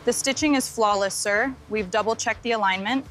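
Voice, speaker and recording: A woman's voice: on the high side, normal speed, a bit bright, faint music behind, close to an everyday voice. A woman playing a diligent factory inspector reporting checks in scripted workplace dialogue, with factory noise behind her. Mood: businesslike. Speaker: factory worker.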